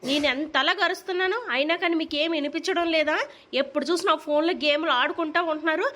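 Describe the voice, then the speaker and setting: A woman's voice: high-pitched, fairly even intonation, fast talker, a bit bright. A woman voicing an exasperated, nagging young mother in a comedy cartoon, with a Telugu accent and sarcastic, threatening scolding. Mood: angry complaining.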